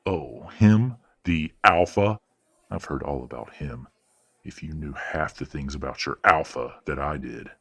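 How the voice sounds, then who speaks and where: A man playing a condescending, manipulative werewolf father in an audio roleplay, speaking in a measured, patronizing and coldly genteel way. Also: fairly bright tone, exaggerated voice. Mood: smug, needling. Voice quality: deep, husky. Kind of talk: audio roleplay drama acting.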